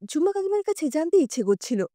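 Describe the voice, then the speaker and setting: A woman storyteller with a high, mellow voice reads a dramatic audio story. Her delivery is emotional and lively in pitch, recorded close and dry. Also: quick pace, no echo. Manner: expressive, emotional.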